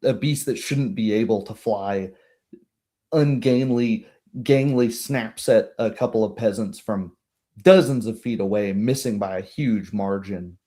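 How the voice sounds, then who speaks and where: Low mellow guy running a fantasy tabletop game, takes his time describing scenes. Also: unhurried. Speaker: game master. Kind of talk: descriptive tabletop roleplay narration.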